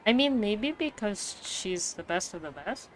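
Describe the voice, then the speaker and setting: A woman's voice: mid-range voice, lively intonation, unhurried, slight background noise, low-quality recording. A woman game streamer giving casual, slangy, reactive commentary on a playthrough. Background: game background music.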